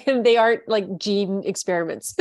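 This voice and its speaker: A woman's voice: mid-range voice, normal speed, dry recording. Bubbly laughing woman host, dry sound. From Canada. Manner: bubbly, laughs often, restarts sentences. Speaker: podcast host. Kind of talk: casual interview chat.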